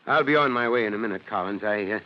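An actor playing a jovial, teasing middle-aged fire chief in a vintage radio drama, with a hearty, authoritative manner. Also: male voice, fairly low pitch, fast talker, a little hiss or hum, close to an everyday voice. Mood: genial. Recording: muffled old radio broadcast.

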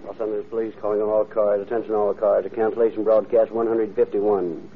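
An actor playing a brisk police dispatcher, making clipped official calls over police radio in a 1930s show. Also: male voice, lowish voice, level tone. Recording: narrow, noisy old police radio.

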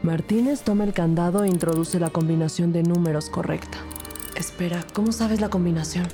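A woman's voice: on the low side, fairly quick, warm tone, faint music behind, natural voice. An actress playing an observant investigator, narrating her case in the first person in a wry, matter-of-fact way. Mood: intrigued.

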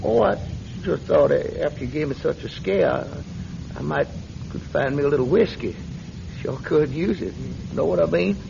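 A high-pitched, gravelly, pleading drunk posing as a preacher; rambling radio drama, muffled noisy recording. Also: male voice. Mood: wheedling, unsteady. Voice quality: creaky, gravelly voice. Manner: stammering, halting, breaking into hymn-like singing.

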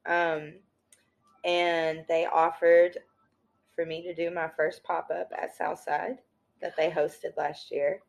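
A woman's voice: deep voice, slow pace, vocal fry. A woman, a thrift and sewing guest talking casually with lots of "like" and "yo.". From Tennessee. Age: 30s. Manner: slangy, animated, peppered with "like" and "yo". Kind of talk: casual podcast conversation.

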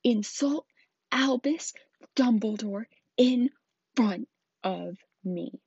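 A woman reading aloud, voicing a big gruff, kindly male giant groundskeeper with folksy, rough country speech. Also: fairly low pitch, level tone, brisk, dark tone, no echo, dull audio. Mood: indignant.

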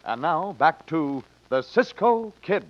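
A man's voice: fairly high pitch, very animated, slow pace. A man with an American accent narrating as the announcer of an old-time radio drama. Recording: dull vintage radio recording. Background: organ music.

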